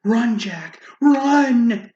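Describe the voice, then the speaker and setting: A woman's voice: fairly high pitch, animated, extremely slow, mellow, lo-fi sound, voice pushed up. A woman voicing a big, bossy but secretly kind giantess wife in a storybook tale. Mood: urgent. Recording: roomy echo, faint noise.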